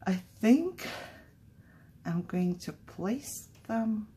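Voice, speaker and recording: A woman's voice: medium pitch, very animated, very slow, warm tone, slightly rough. A woman from Kazakhstan whose first language is Russian hosts a casual crafting vlog in English. She talks in a chatty, jittery way and laughs often. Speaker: crafting channel host.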